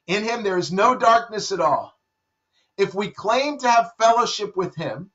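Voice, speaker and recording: A man in his fifties, a pastor, preaching a sermon from a room at home into a webcam, dropping soft and low for emphasis. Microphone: webcam at desk. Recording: dull lo-fi webcam audio.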